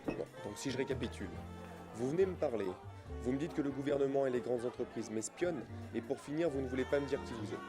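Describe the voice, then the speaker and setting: A man's voice: mid-range voice, fast talker, lightly acted. An actor playing a weary, skeptical male office worker in an audio drama. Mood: skeptical, irritated. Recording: echoey reverb, music and crowd behind.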